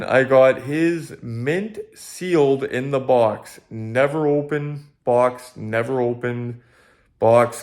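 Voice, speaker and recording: A Canadian man speaks in a medium-pitched voice as he hosts a casual collectibles review. He addresses viewers directly and sounds keen on the subject. He often repeats phrases to drive a point home. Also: vocal fry. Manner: enthusiastic, repeats phrases for emphasis. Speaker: collectibles video host.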